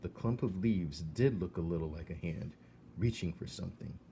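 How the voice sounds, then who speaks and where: A man reading a children's novel aloud as narrator, in an expressive storytelling style. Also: low voice, moderate pace, a little hiss or hum. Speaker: storybook reader.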